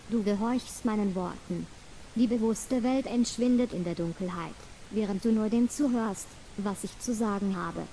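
Woman, hypnosis induction, slow repetitive soothing cadence, fairly high voice, warm mellow tone. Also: a little hiss or hum, low-quality recording. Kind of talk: scripted hypnosis trance induction.

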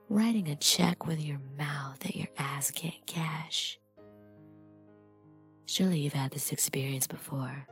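A woman with a breathy voice that often slips into whispering, delivering scripted adult hypnosis audio in a dominant, deliberate, commanding manner. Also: low voice. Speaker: erotic hypnosis performer.

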